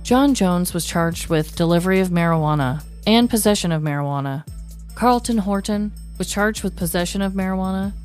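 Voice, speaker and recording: A woman's voice: on the low side, darkish tone. An American woman co-hosting a podcast, reading a scripted true-crime story. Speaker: podcast co-host.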